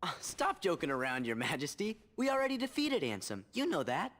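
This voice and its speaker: An eager teenage boy hero from a video game, excitable and quick to react. His voice sits fairly high and swings widely in pitch. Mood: exasperated. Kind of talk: video game cutscene dialogue.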